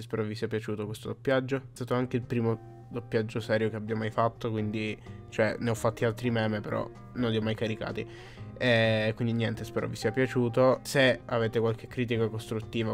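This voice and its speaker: A man's voice: lowish voice, level tone, brisk, gravelly. Casual man chatting over faint music. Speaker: amateur fan dubber. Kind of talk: casual video outro chat.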